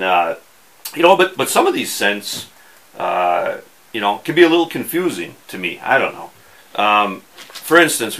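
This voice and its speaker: A middle-aged man with a fairly low but bright, forceful voice. He chats casually to the camera like an outdoors host, in a folksy, halting way with dry wit. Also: rough recording. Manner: folksy, wry, halting delivery. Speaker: host of an outdoors fishing channel. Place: small indoor workshop.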